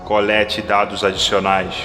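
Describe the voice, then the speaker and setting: A man playing the solemn, questioning collective mind of future humanity, speaking deliberately. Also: lowish voice, completely flat delivery, close to an everyday voice. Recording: long reverberant hall effect, music behind.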